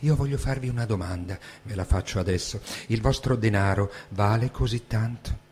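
A man's voice: on the low side, normal speed, a little mellow. A man giving a dramatic stage reading of a text. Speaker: stage reader. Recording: hum and background noise.

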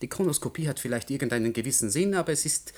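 Actor as reserved, formal physics lecturer. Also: male voice, medium pitch, lively intonation, lightly acted. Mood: firm, dismissive. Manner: formal, measured, hesitant. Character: reserved, cautious male physics lecturer, politely evasive. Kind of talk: German radio drama acting.

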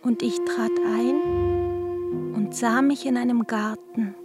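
An actress playing a young woman who recites a garden scene in a slow, lyrical way. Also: fairly high pitch, animated, loud music behind the voice. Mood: dreamy. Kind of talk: radio drama, poetic recital.

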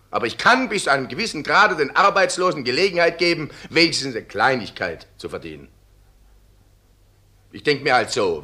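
An actor in a German radio play, playing a domineering, self-righteous mill owner who blusters and commands. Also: male voice, mid-range voice, pushed and bright.

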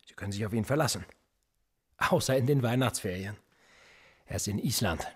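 Sardonic, menacing police interrogator, husky. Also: male voice, on the low side, a little fast. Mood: dismissive, dry. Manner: sardonic, insinuating, coolly threatening. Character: cynical, menacing male police interrogator, sly and pressing. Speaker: actor in a radio drama. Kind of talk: naturalistic German radio play acting.

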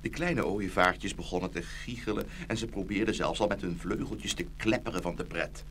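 A man's voice: sits low, moderate pace, fairly bright tone, slight background noise. A man narrating a scripted fairy tale for children as the storyteller of a radio play.

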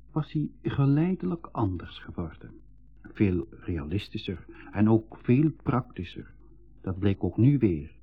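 An actor playing a thoughtful nephew who narrates his world journey in a measured way, with a Belgian Dutch accent, in a school radio play. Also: on the low side, slightly rough, exaggerated voice. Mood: reflective. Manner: measured, storytelling. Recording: phone-like, narrow 1980s radio recording.

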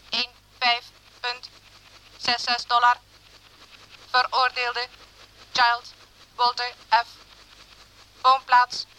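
A woman's voice: a little high, bright, forceful tone, clear, resonant tone, rough recording. A woman in a radio play voicing an emotionless computer that reads out data in mechanical, clipped phrases. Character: emotionless female computer reading punched cards.

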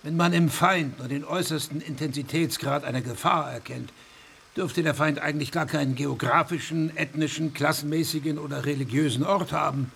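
An actor playing a learned, formal gentleman debater in a radio drama, pointed and dryly ironic. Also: fairly high pitch, steady tone, fairly quick, gravelly, slight background noise. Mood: formal, probing. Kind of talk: German radio drama acting.

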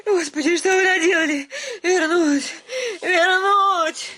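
High-voiced, melodramatic quarrelsome daughter. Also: female voice, fairly even intonation, slow, noisy background. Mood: hysterical wailing. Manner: stammering and repeating, melodramatic. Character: quarrelsome, hysterical unmarried landowner's daughter. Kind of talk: theatrical radio comedy acting.

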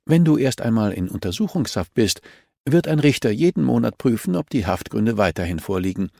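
A man voicing a cool, relentless policewoman in a crime audiobook, calm and insistent as she accuses a suspect. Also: medium pitch, a little fast, vocal fry, no echo, studio quality, pitched above the performer's own voice.

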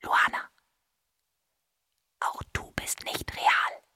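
A woman playing an insinuating assembly-line writer in a stage drama, whispering breathily and explaining in a deliberate, matter-of-fact way. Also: pushed and bright, no room sound, studio quality, exaggerated voice. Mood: ominous, revealing. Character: insinuating female assembly-line script writer, unmasking the system. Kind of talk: whispered stage monologue in German.

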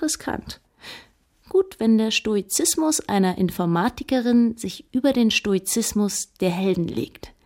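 An actress in a radio drama playing a keen, articulate historian on an expert panel. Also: female voice, medium pitch, a little mellow.

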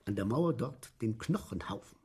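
An actor playing a boastful showman of a gruesome arena in a theatrical German radio drama, moving between hushed murmurs and loud barker's calls. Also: medium pitch, lively intonation, moderate pace, husky. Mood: proclaiming, grandiose.

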